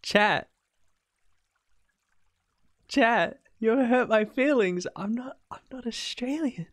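Playful high-voiced New streamer. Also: male voice, takes it slowly, a bit bright. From New Zealand. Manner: playful, jumps to high squeaky protests when teased. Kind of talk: casual game stream chat.

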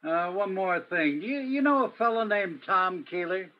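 A man's voice: sits high, expressive, unhurried. Man playing a muffled, world-weary noir radio detective. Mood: courteous, probing. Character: brooding, world-weary male Broadway police detective narrator. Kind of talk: radio play acting with poetic noir narration. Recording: dull, muffled lo-fi audio.

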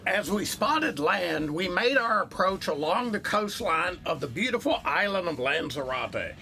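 A man's voice: sits high, sing-song, unhurried, gravelly, pushed and bright, faint music behind. A man reading scripted voice-over narration for a travel video, upbeat in tone.

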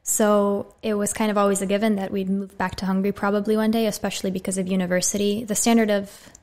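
Young American woman, relaxed interview talk. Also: medium pitch, quick pace. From USA. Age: 20s. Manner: friendly, relaxed, frequent "so" openers. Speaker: podcast guest, medical student. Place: small bright studio room. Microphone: studio condenser mic on boom arm.

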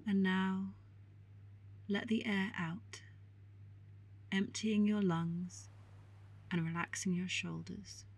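A woman leads a guided meditation in a soft, husky, breathy voice at a medium pitch. She speaks extremely slowly and calmly, leaving long pauses between short, soothing instructions.

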